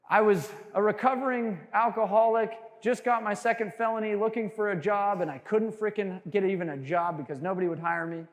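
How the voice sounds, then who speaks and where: A man giving a lively sales training talk to a live audience, joking with the crowd and asking for hands. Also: high-pitched. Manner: animated, jokey, quizzes the crowd. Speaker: sales trainer speaking to a room. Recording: long hall reverb.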